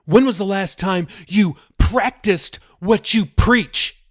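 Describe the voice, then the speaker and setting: A man voicing a furious twenty-one-year-old daughter who shouts at her father in a high, strained voice. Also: slow pace. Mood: defiant.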